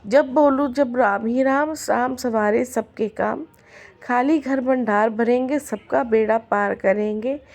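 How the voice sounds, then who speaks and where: Woman storyteller, devotional folk tale, hoarse throat, rhythmic chanted refrains, slightly high voice. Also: slow pace, a little mellow.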